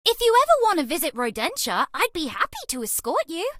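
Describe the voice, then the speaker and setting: A woman's voice: extremely high pitch, wildly swooping pitch, brisk, a bit bright, dry recording, studio quality, heavily acted. A woman voicing a sweet, eager young female alien mouse in a dating game, bubbly, earnest and quirky. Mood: chirpy, playful. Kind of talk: dating game character voice lines.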